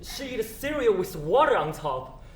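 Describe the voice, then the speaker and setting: A man's voice: sits high, sing-song, unhurried. A man acting an earnest, emotional husband pleading for answers in an afterlife stage play. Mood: wistful. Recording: echoey stage, faint background noise.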